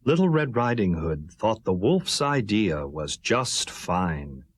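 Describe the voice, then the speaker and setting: A man with a husky voice narrating a scripted children's story in a rhythmic way. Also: on the low side, very animated, takes it slowly, no room sound. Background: faint music.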